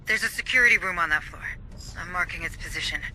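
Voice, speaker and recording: A woman's voice: on the low side, fast, pushed and bright, poor audio. An actress voicing an earnest, anxious corporate official in a video game who guides a marine squad and is shaken by the deaths she finds. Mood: briefing. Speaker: station official guiding a marine squad by radio. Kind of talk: video game cutscene dialogue.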